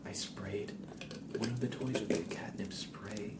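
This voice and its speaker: A man hosting a live ASMR stream in a soft, often whispered voice, chatting informally in loose, hesitant phrases. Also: lowish voice, steady tone, unhurried. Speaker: ASMR livestream host. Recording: clear background ambient noise.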